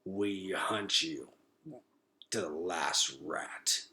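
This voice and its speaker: A man's voice: deep voice, creaky, pushed and bright, pitched below the performer's own voice, full character voice. Man as a vicious, menacing giant rat. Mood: dying menace. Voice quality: gurgling, strained. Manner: menacing, drawn-out speech.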